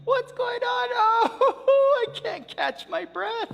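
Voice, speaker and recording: A man voicing a vain, boastful male coyote trickster, exclaiming in a high, strained voice. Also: normal speed, gravelly, a bit bright, full character voice. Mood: panicked. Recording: long hall reverb.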